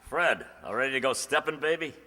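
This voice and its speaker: A man's voice: medium pitch, lively intonation, fairly quick, pushed and bright. A man acting a brash, pushy young suitor in a radio comedy play, slangy and wheedling. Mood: eager. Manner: slangy, wheedling, stammers when thrown. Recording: echoey hall, faint noise.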